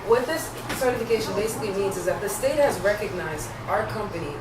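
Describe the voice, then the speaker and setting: A woman's voice: mid-range voice, lively intonation, fast talker. A woman from Haiti, a company CEO, giving an earnest, upbeat presentation at a community meeting with many ums. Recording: echoey room, distant camera, loud background noise.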